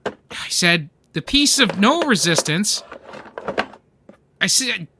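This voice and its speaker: A male actor playing an excitable, nostalgic, nerdy man in a radio comedy play, with theatrical, geeky banter. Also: high-pitched, slow pace, noisy background, full character voice. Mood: hyped, boastful.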